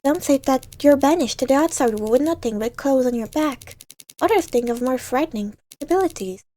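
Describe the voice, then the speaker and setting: A woman's voice: high-pitched, lively intonation, fairly quick, warm tone. A woman reading visual novel narration aloud in a dramatic storytelling way, now and then stumbling over words.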